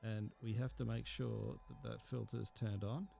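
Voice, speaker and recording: A man teaching a software tutorial, explaining step by step in an unhurried way and thinking aloud with fillers. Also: fairly low pitch, flat delivery, creaky. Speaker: instructor. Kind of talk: screen-recorded software tutorial.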